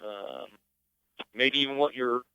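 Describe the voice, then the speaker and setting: A man's voice: medium pitch, slow, bright, forceful tone, smooth, dry recording. A man acting as net control, casually moderating check-ins over amateur radio. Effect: amateur radio transmission. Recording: dull band-limited radio audio.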